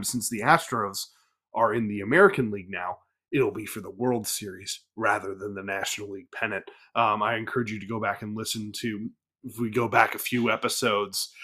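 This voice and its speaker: A man's voice: mid-range voice, a bit bright. A man hosting a baseball podcast, recovering from a cold, recapping games in a loose, rambling way full of fillers and restarts. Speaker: podcast host. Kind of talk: casual baseball recap talk.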